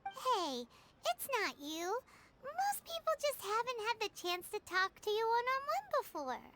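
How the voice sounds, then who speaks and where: A woman's voice: extremely high pitch, wildly swooping pitch, a little slow, heavy background noise, heavily acted. A woman voicing a tiny, cheerful childlike fairy companion in an anime game, loyal and exclamatory. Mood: reassuring. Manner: exclamatory, talks of self in third person.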